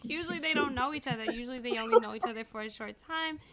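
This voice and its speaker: A woman on a casual panel chat, hoarse from losing her voice, speaking earnestly. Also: fairly high pitch, fast, crowd noise. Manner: earnest, laughs between points. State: hoarse, voice coming back after losing it.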